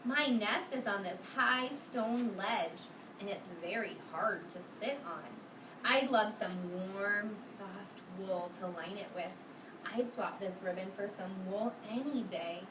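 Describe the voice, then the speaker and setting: A woman voicing a wistful storybook crow with slow, lingering phrases. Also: mid-range voice, pitched below the performer's own voice, natural voice. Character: crow longing for soft wool, storybook bird. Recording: roomy, noisy classroom from a distance.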